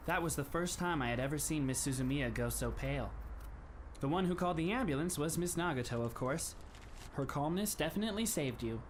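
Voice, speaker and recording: A man in an anime fan dub playing a polite, composed teenage schoolboy who speaks in formal, measured phrases with a faintly wry touch. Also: a little high, lively intonation, slight background noise, close to an everyday voice. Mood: calm, grave.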